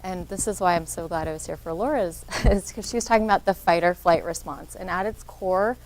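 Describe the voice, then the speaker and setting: Soft-voiced woman leading a workshop. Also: on the low side, fairly quick, rough recording. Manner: encouraging, draws answers from audience. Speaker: workshop speaker, social worker. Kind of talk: interactive workshop presentation.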